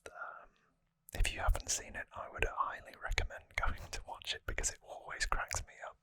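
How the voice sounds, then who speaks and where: Close, hushed ASMR whisper, bright and breathy. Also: moderate pace, no echo. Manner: hushed, relaxed, rambling casual explanation. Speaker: ASMR video host. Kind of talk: whispered ASMR trivia recap. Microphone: close microphone.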